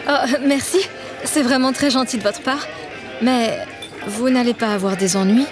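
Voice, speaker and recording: An actress playing a sweet, grateful young university student in an audio drama, polite and friendly. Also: female voice, on the high side, steady tone, normal speed, music in the background, a crowd in the background, natural voice.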